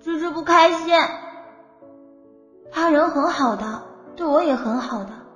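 Woman acting as sad young woman, wistful, audiobook, drawn-out pace, long reverb, faint music. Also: high-pitched, expressive. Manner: wistful, plaintive. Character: unhappy young woman pining in unrequited love.

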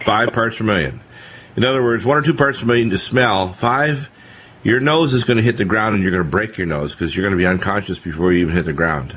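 A man's voice: on the low side, fast talker. A man hosting a radio panel and giving technical explanations in conversation. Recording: dull lo-fi radio audio, faint noise.

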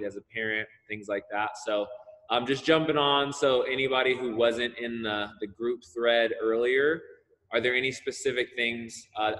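A man's voice: fairly low pitch, a bit bright. A man coaching volleyball over a video call from a large indoor gym, explaining the plan in a loose, chatty way with plenty of "um" and "uh." His voice rings with the long reverb of the gym around him. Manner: casual, explanatory, lots of fillers. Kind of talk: online coaching seminar.

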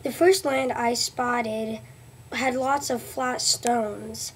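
A woman's voice: a little high, steady tone, slight background noise. A teenage girl playing the Viking explorer Leif Erikson for a school report, reading earnestly with many restarts, recorded on a webcam in a small room. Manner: reads haltingly with restarts. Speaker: student presenter. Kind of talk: school history report in character. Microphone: webcam at close range.

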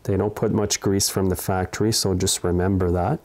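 A man's voice: low-pitched, level tone, a little fast, darkish tone. A middle-aged man narrating a hands-on repair tutorial in a plain-spoken, step-by-step way. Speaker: small engine repair host. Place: indoor repair workshop.

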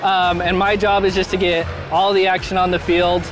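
A young man speaks in a notably high voice for a male. He is a camera operator giving a casual interview outdoors. Music plays clearly behind him. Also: level tone, moderate pace. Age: young adult. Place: outdoors at a stadium camera platform.

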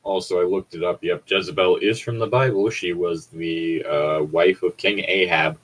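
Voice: low voice, fairly even intonation, moderate pace, slightly rough, rough recording. A person chatting casually and bantering with friends as co-host of a fan fiction reading.

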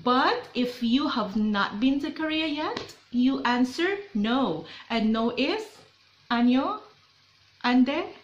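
A woman's voice: high-pitched, animated, measured pace, echoey room, lo-fi sound. A young Filipino woman with a Filipino accent, chatting upbeat and playful in a casual vlog to camera in a small bedroom. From Philippines. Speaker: vlogger.

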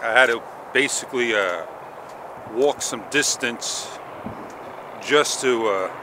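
Slow-talking man walking outdoors. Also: mid-range voice. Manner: rambling, relaxed, thinks aloud with many pauses. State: sore throat, sucking on candy. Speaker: vlogger. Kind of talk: casual walk-and-talk vlog. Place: outdoors on a park path in the morning. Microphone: action camera held at arm's length. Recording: outdoor noise with traffic behind. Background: passing traffic and outdoor ambience.

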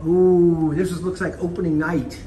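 A man's voice: on the high side, flat delivery, normal speed, roomy sound, far from the mic, crowd noise, poor audio. A man hosting a casual local video tour, chatting with enthusiasm inside an art gallery. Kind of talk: casual chat.

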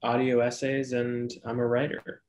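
A man in his thirties from Toronto, introducing himself casually and haltingly on a video call. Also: medium pitch, completely flat delivery, darkish tone, a little hiss or hum. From Toronto, Canada. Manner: halting, unsure. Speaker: graduate student, writer. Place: bright indoor room with plants, video call.

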